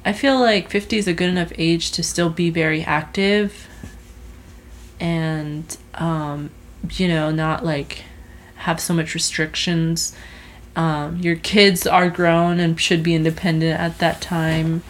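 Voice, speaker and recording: A woman's voice: sits low, flat delivery, takes it slowly. A woman in her twenties chatting casually about her resolutions, telling a story with lots of fillers and restarts. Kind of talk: casual chat.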